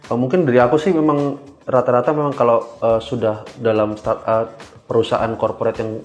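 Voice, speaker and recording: A young man in his twenties speaks as an interview guest with a mid-pitched voice. His delivery is casual but halting, often stopping on filled pauses as he explains. Faint music sits behind him. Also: level tone. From Indonesia. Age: 20s. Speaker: guest cyber security engineer. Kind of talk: casual podcast interview answers.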